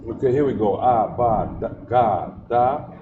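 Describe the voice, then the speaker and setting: A man speaks at a slow, measured pace as he drills letter sounds and keeps checking "you see that?" His voice sits in the middle range, and the dull livestream audio carries a lot of echo and steady background noise. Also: medium pitch. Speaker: teacher.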